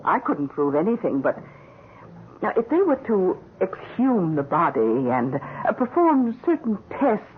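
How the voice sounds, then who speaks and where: An actress playing a scheming, coolly menacing housekeeper in an old-time radio drama, speaking with composed, insinuating mock deference. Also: female voice, mid-range voice, big pitch swings, unhurried, slight background noise. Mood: sly, menacing. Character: scheming, coolly menacing female housekeeper blackmailing her employer. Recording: muffled, narrow-band old radio recording.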